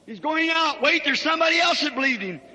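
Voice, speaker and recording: Male preacher, very high-pitched and intensely sharp, declaiming fervently in a long-reverb hall. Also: expressive, quick pace. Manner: dramatic, declamatory delivery with emphatic shouts. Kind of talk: fervent revival sermon, storytelling.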